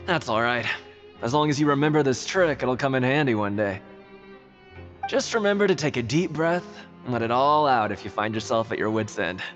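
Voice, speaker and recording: Actor as boisterous, bright-voiced upbeat man. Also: medium pitch, animated, moderate pace, soft background music, natural voice. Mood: encouraging. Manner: hearty, exhorting. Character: boisterous, relentlessly upbeat male pep-talker. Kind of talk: animated video game acting.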